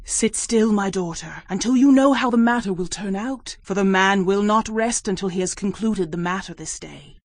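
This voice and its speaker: A woman playing a wise older mother-in-law, calm and motherly, in a dramatized scripture reading. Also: mid-range voice, normal speed, hardly any pauses, dry recording, studio quality, lightly acted. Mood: reassuring.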